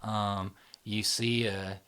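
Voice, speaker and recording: A man with a somewhat low voice talks through an idea in loose, rambling sentences. He keeps checking in with his listener, dropping "right?" and "you know" as he goes. The tone is casual, unscripted conversation. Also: little pitch movement, very slow, bright, forceful tone, clear, resonant tone. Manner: explains in loose spoken runs, checks in with frequent "right?". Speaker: host, channel founder.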